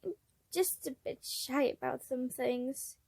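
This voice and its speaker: A woman voicing a shy, gentle man in love from a story, soft and hesitant. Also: sits high, takes it slowly, creaky, dry recording, heavily acted. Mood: bashful.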